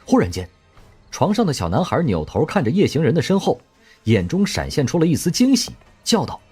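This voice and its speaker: A man narrating an audio drama with expressive storytelling. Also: on the high side, fairly quick, husky. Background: faint music.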